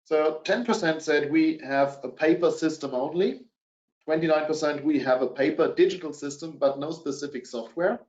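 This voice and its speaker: A middle-aged man with a German accent, presenting a business webinar and pausing often on filler sounds. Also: a little high, darkish tone. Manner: hesitant, many fillers. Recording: dull, roomy webinar audio.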